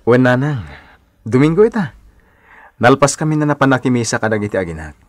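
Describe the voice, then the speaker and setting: A man's voice: medium pitch, sing-song, fairly quick, no echo, clean studio sound, lightly acted. A man playing a patient, devoted young husband and son in a Filipino radio drama, earnest and placating, with an Ilocano accent. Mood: reassuring.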